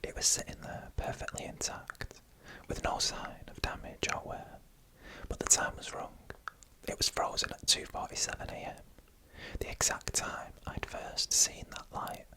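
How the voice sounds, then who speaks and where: A storyteller whispering an eerie first-person tale in ASMR style, unvoiced and breathy, with deliberate, suspenseful phrasing. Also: bright, forceful tone.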